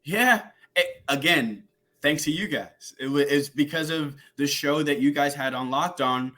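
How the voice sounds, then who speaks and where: A young man guesting on a sports podcast over a video call, an excitable storyteller who laughs often. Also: fairly high pitch, unhurried. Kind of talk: casual sports podcast chat.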